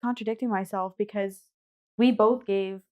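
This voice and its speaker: A woman's voice: mid-range voice, steady tone, moderate pace, dark tone. Informal woman in a casual book chat. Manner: informal, conversational. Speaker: book podcast host.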